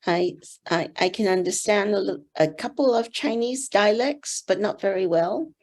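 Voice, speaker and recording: An older woman from Singapore whose first language is Teochew, giving gentle, thoughtful interview answers with soft pauses. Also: mid-range voice, lively intonation. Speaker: interview guest, board member.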